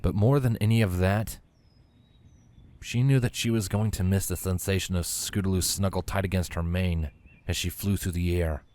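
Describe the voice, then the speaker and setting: A man's voice: low-pitched, fairly even intonation, normal speed, a little mellow. A man reading scripted story narration as the narrator of a fan fiction tale.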